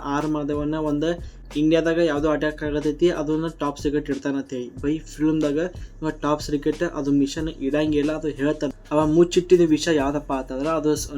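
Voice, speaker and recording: A man's voice: fairly high pitch, dark tone, a little hiss or hum. A man with a North Karnataka accent giving blunt, combative, colloquial reaction commentary. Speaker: YouTube commentator.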